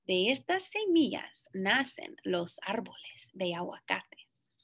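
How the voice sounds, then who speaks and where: Slow-paced young woman reading a children's story. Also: medium pitch, sing-song, creaky, a bit bright. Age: young adult. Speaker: teacher reading a picture book aloud. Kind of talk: children's story read-aloud with brief asides. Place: small indoor classroom.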